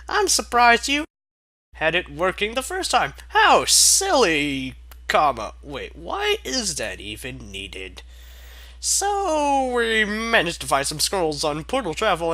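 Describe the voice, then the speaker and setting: A young man reads a fan story aloud with a very bright, forceful voice that swings widely in pitch. He keeps stopping to mock the text in sarcastic, exasperated asides, and the reading stays loose and comedic. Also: dry recording. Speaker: fanfic reader.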